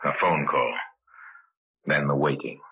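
A man's voice: extremely low pitch, steady tone, measured pace, husky, heavily acted. A man acting as the grave narrator of an old-time radio crime drama, telling it in terse, dramatic phrases. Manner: terse, dramatic storyteller delivery. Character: grave male storyteller of a murder case. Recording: dull old radio recording with music.

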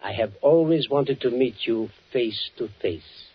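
An actor playing a coldly gloating Gestapo officer in a 1940s radio drama, slow and deliberate as he savours his words. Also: mid-range voice, husky. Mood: gloating menace. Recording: muffled vintage 1940s radio broadcast.

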